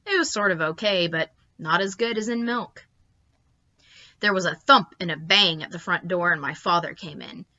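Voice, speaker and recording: A woman's voice: on the low side, moderate pace, bright, forceful tone. A woman reading a children's bedtime story aloud expressively, on a slightly dull recording.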